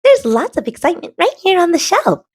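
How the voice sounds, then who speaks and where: Woman putting on a squeaky, perky little acorn. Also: very animated, brisk. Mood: perky, surprised. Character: perky childlike acorn, chatty homebody. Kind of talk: children's storybook read-aloud.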